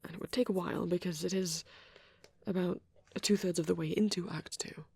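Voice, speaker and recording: A man voicing a shy, soft-spoken vampire boy in an ASMR roleplay, hesitant and breathy, often slipping into a whisper. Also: high voice, steady tone, no room sound, studio quality, full character voice. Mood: shy, rambling. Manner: hesitant, halting, gently spoken. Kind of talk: ASMR, soft rambling and reading aloud.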